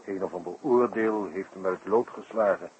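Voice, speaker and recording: An actor playing a genial, teasing local friend showing someone around, with a bantering delivery in a 1960s radio drama. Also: male voice, low-pitched, a little fast, a little mellow, lightly acted. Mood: genial, amused. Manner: bantering, conversational. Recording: dull, noisy 1960s radio recording.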